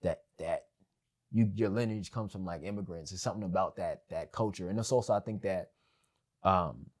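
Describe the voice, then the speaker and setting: A young man with a very deep voice chats on a podcast. He rambles easily, restarting sentences and leaning on fillers as he thinks out loud. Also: normal speed. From family of West Indian background. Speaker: podcast guest. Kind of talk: casual podcast conversation.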